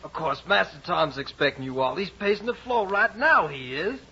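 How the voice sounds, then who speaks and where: Creaky, deferential Southern servant. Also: male voice, high-pitched, expressive, normal speed, sharp tone, exaggerated voice. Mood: warm welcome. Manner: folksy, deferential. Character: deferential old Southern servant man at a plantation. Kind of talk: 1950s radio acting. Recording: muffled, lo-fi old radio recording.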